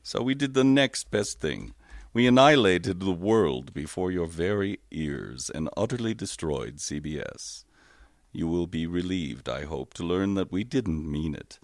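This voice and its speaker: Casting: man playing a bass-voiced 1930s radio showman, grandiloquent and wry, recorded dry and close. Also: a little mellow, no echo, exaggerated voice. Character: genial, theatrical male radio host addressing listeners after broadcast.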